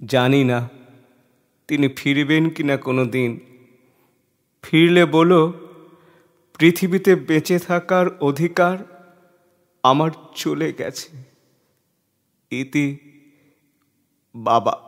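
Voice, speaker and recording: A man playing a despairing, proud old schoolmaster father in a recited poem, speaking solemnly with weighted pauses. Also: a little high. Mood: ashamed, resigned. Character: despairing old schoolmaster father writing his suicide note. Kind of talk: dramatic Bengali poetry recitation. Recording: long reverb, soft guitar music behind.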